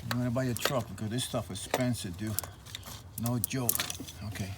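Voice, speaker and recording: A man's voice: mid-range voice, fairly quick, very noisy. A man talking informally, half to himself, as he films a casual hands-on repair vlog. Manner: informal, muttering to himself while working. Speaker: vlogger, tradesman doing a DIY truck repair. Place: at a vehicle being repaired.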